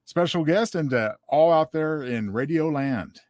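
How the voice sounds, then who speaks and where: Male co-host, slightly high voice, casual chat, dry recording. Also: animated, a little slow, darkish tone, no echo.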